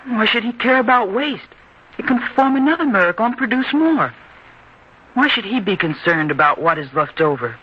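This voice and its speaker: An actor playing an earnest, stubborn young son in an old-time radio drama, speaking in an eager, theatrical way. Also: male voice, high-pitched, very animated, normal speed, breathy edge, full character voice. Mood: puzzled. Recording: dull, noisy old-time radio recording.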